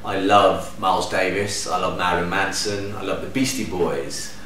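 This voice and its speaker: A man in his thirties from Denmark, a DJ and producer, answering interview questions to camera in a relaxed, enthusiastic way with lively hand gestures. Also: low-pitched, normal speed. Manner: relaxed, enthusiastic, gestures with hands while talking. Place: living room at home, indoors. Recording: echoey room, distant mic, faint hum.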